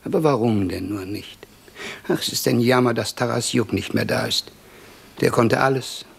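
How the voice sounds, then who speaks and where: A man's voice: medium pitch, moderate pace, creaky, husky, heavily acted. A man playing a garrulous, wryly lamenting older Russian medical man in a 1950s radio play, with chatty, rambling storytelling.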